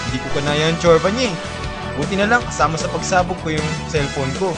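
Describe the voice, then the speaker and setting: A man's voice: on the high side, quick pace, close to an everyday voice. A man playing a dramatic Earth defender. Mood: resigned. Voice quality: dramatic, declamatory. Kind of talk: amateur radio drama acting. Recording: loud music under the voice.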